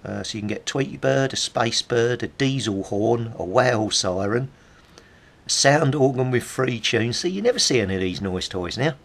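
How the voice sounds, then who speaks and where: A man's voice: mid-range voice, animated, normal speed, gravelly. A middle-aged British man giving casual, rambling, nostalgic commentary, chatty and musing aloud as he goes. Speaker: hobbyist presenter.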